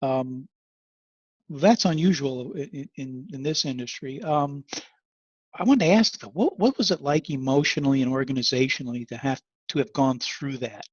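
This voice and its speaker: A man's voice: medium pitch, normal speed, slightly rough, no echo. A man hosting an interview, putting questions to his guests in a conversational way. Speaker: interview host. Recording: dull, dry lo-fi connection.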